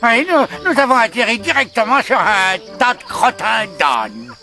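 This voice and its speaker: A man's voice: extremely high pitch, big pitch swings, unhurried, vocal fry, pushed and bright, heavily acted. A man playing a pompous, fussy little doctor creature in a cartoon dub, with a wordy manner. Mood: fussy disgust. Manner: wordy, pompous, stammering over grand titles.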